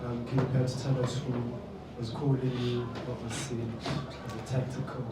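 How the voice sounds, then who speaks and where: A man's voice: medium pitch, completely flat delivery, normal speed, loud music behind the voice. A man asking a tentative question as a reporter at a press conference. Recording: echoey noisy room, far from mic.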